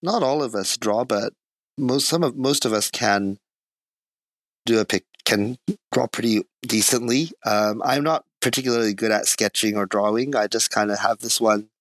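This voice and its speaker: A man's voice: fairly low pitch, normal speed, no room sound, low-quality recording. A man being interviewed about his art, who grew up in Japan, talking thoughtfully and modestly with small laughs. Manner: soft-spoken, thoughtful, self-deprecating with small laughs. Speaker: artist guest. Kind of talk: casual interview conversation.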